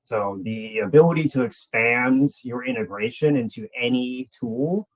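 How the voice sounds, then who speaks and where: A man's voice: mid-range voice, sing-song, unhurried, rough recording. A man giving a webinar presentation as a product presenter, explaining in a conversational way and addressing the audience directly.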